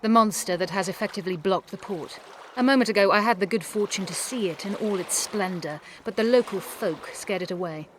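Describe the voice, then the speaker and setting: A woman's voice: mid-range voice, brisk, slight background noise, close to an everyday voice. A woman voicing a haughty, cool sorceress in a video game, imperious and formal.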